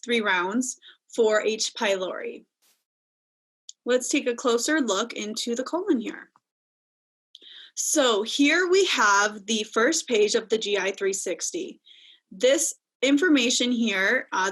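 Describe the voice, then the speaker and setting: A woman's voice: fairly high pitch, a bit bright, poor audio. A woman clinician presenting a webinar case, explaining test results in a methodical, step-by-step way over a video call.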